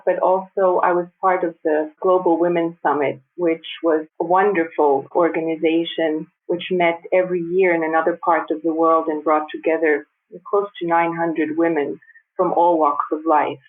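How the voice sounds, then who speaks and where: A woman in her fifties who grew up in the United States, speaking as a guest in a conversational interview, measured and thoughtful with light laughs. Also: on the low side, steady tone. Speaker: guest designer and architect. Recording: tinny phone-like remote line.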